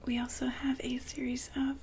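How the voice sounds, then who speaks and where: A woman whispering softly and politely in an ASMR customer-service roleplay, breathy and gently hesitant. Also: sits high, monotone, a little hiss or hum. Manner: soft-spoken, polite, unhurried, gently hesitant. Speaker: ASMR roleplay performer as a shop consultant.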